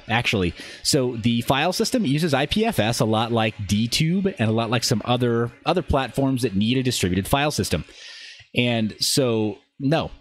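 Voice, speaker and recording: Rambling male livestreamer over background noise. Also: mid-range voice. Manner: rambling, self-interrupting asides. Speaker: live streamer and channel host. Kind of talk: casual livestream chat, answering viewer questions while demonstrating a website.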